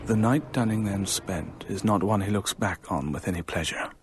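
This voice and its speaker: An actor playing a measured, ominous narrator with an English accent and a husky voice, telling a ghost story in a radio drama. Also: male voice, deep voice, noisy background, lightly acted. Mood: quietly ominous.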